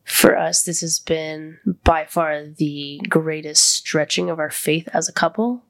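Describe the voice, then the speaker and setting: An American woman hosting a podcast in casual, candid chat, with a congested voice that sits deeper than her usual. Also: fairly even intonation, unhurried, creaky. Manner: candid, conversational. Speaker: podcast host.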